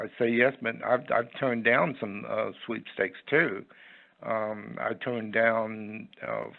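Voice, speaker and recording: A man giving unscripted interview answers in a halting, rambling, folksy way over a phone line. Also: fairly low pitch, creaky. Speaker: interview guest, website owner. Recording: muffled, tinny phone line.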